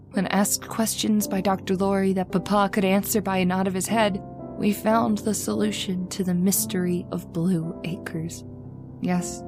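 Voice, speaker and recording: A woman's voice: medium pitch, natural voice. A woman playing a haunted young wife in an old-time radio horror drama, with an earnest, dramatic delivery.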